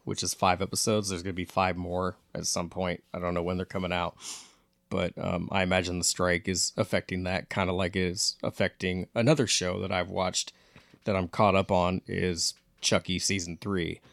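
A man's voice: sits low, level tone, moderate pace, vocal fry, dry recording, clean studio sound. A man co-hosting a podcast, talking in a casual, conversational way. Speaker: podcast co-host. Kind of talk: casual chat.

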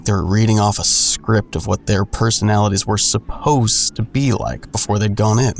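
A man's voice: fairly low pitch, steady tone, moderate pace, creaky, a little hiss or hum. An actor playing a jaded man who narrates his own story in an audio drama with wry, sardonic delivery. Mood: wry, brooding. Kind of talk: first-person noir-like audio drama narration.

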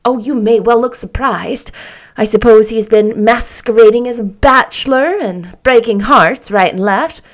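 A woman reading an audiobook, voicing a brisk, chirpy little woman near fifty who speaks emphatically. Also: fairly high pitch, natural voice.

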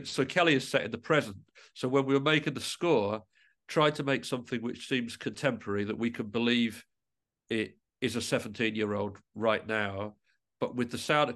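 Thoughtful man in conversation, pausing to rephrase. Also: mid-range voice, a bit bright. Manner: reflective, tentative, often pausing mid-thought to rephrase. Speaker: interview guest, musician and composer.

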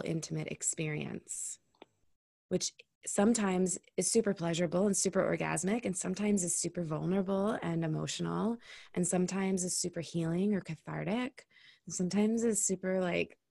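Female guest, intimacy coach, frank and reflective, slight vocal fry, dry close sound. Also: fairly low pitch, mellow, dry recording. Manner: candid, reflective, swears casually. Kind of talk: casual podcast conversation.

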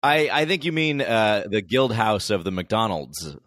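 A man's voice: on the low side, sing-song, measured pace, fairly bright tone, dry recording, studio quality. A man co-hosting a casual chat, chiming in with teasing jokes. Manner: joking, teasing interjections. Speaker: podcast co-host.

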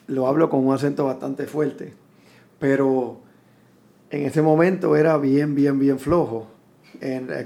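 A Puerto Rican man in his fifties, a military veteran, telling his life story in a reflective, unhurried way, often restarting his sentences. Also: mid-range voice, creaky, darkish tone. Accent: Puerto Rican Spanish. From Puerto Rico.

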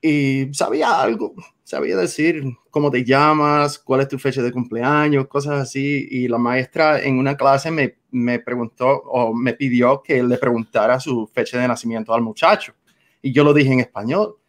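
A man's voice: mid-range voice, fairly even intonation, moderate pace. An American man in his forties, speaking Spanish with a Cuban accent, telling stories as a guest on an interview show. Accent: Cuban-influenced Spanish. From United States. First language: English. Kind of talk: casual interview storytelling.

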